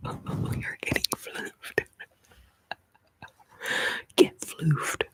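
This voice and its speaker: A woman whispering softly in an ASMR video, playful and chatty. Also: poor audio. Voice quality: whispered, soft breathy delivery. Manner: playful, giggly, chatty asides between repeated trigger words. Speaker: ASMR host. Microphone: close sensitive mic.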